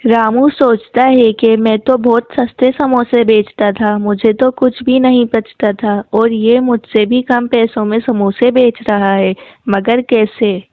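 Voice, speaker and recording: A woman reads a scripted children's moral story. Her voice sits a little high and moves slowly. The audio is thin and narrow, like a phone. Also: steady tone, no echo.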